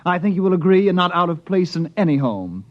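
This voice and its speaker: A man's voice: sits high, sing-song, fast talker, dry recording. An actor playing an eager, politely unflappable appliance salesman with fussy, polite patter, in mid-century radio drama style. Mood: polite, pitching. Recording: dull, dry vintage radio recording.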